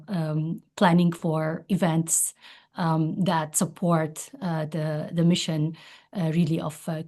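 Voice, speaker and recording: A middle-aged woman, a doctor speaking semi-formally as a webinar guest in a measured, hesitant way, often searching for words. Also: on the low side, dark tone. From Lebanon. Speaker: pediatric oncologist, guest speaker.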